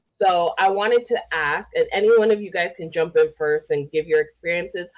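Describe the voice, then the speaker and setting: A woman's voice: fairly low pitch, normal speed. A woman from Canada hosting a conversational podcast interview. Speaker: podcast host. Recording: dull lo-fi podcast audio.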